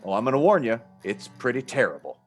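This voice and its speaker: Casting: male actor as obliging merchant for comic radio spot, mid-range voice, folksy courteous pitchman, light guitar bed. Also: very animated, fast, close to an everyday voice.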